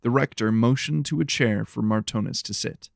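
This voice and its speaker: A man narrating a scripted fantasy horror story in a measured, serious storytelling manner. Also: mid-range voice, creaky, dry recording, clean studio sound.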